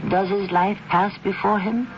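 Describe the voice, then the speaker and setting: Slow, high-pitched male mystery radio narrator. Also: fairly even intonation. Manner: measured, theatrical, rhetorical. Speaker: host and narrator. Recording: muffled, noisy 1970s radio broadcast.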